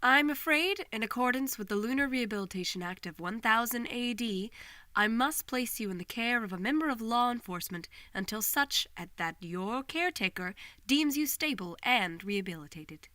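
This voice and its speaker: A woman plays a regal pony princess in a voiced story. Her voice is bright and crisp in a dry recording. She speaks formally, with measured, composed authority. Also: on the high side, animated, no echo. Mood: stern, formal. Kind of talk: voiced fan fiction reading.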